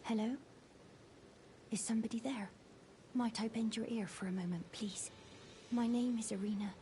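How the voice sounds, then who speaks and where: An actress playing a frail, courteous young noblewoman in a fantasy video game, hesitant and fearful, speaking softly in formal, old-fashioned phrases. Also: mid-range voice, a little hiss or hum, close to an everyday voice. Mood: anxious. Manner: hesitant, formal, archaic politeness. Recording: music behind the voice.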